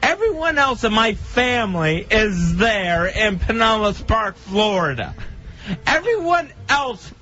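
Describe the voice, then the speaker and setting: Upset male radio voice, halting monologue, very high and sharp, dull recording. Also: very animated. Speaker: radio host. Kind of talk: emotional personal monologue on radio.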